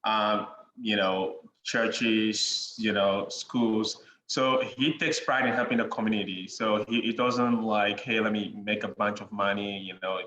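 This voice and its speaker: A young man with a Ghanaian accent, a podcast guest chatting in a relaxed way, with many "you know" fillers and frequent chuckles. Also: fairly low pitch, a little slow, hardly any pauses. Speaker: guest, real estate investor. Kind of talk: casual interview conversation. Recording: dull lo-fi sound in an echoey room with faint noise.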